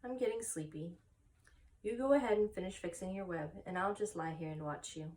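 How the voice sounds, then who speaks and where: A woman voicing an innocent, anxious young male pig for a storybook, soft, pleading and childlike. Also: on the low side, brisk, a little hiss or hum, natural voice. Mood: sleepy, subdued.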